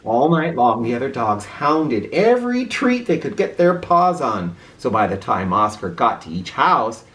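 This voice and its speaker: Female read-aloud narrator for kids: low pitch, hugely varied intonation, unhurried, theatrical. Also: big pitch swings. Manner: theatrical, with informal asides to listeners. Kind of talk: expressive children's story narration.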